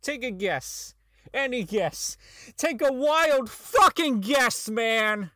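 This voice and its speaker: Man acting a high-voiced, bitter, unraveling engineer. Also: expressive, takes it slowly, sharp tone, dry recording, clean studio sound, heavily acted. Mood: agitated. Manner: rambling, stammering, profane, prone to outbursts. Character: socially inept, bitter male robotics engineer unraveling toward insanity. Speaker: voice actor in a fan-made audio drama. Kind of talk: dramatic monologue as a recorded log.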